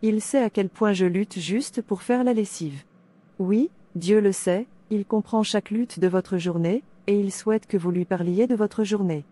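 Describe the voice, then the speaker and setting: Mellow-voiced woman narrating a devotional. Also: mid-range voice, a little slow.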